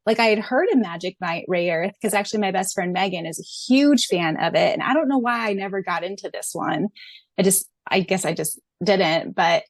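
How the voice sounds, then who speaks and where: Female co-host, mid-range voice, expressive casual podcast banter with a giggly manner, dry recording. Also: mid-range voice, moderate pace, dry recording. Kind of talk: casual fan podcast chat.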